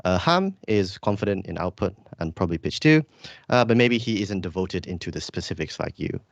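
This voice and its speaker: A man's voice: low voice, expressive, brisk, vocal fry, no room sound, dull audio. A man co-hosting a podcast, asking a long question hesitantly and searching for words. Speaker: podcast co-host. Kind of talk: casual podcast conversation.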